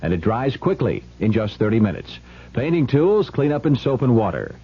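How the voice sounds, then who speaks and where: A man reading a scripted radio commercial as a spokesman, pitching directly to the listener. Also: sing-song, normal speed, husky. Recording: muffled old radio broadcast.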